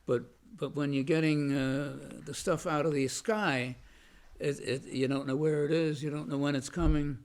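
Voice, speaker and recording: An older man, a veteran, recalling his past in an oral history interview, halting with many restarts and fillers and a loud voice. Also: a little high, steady tone. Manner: says he has a loud voice.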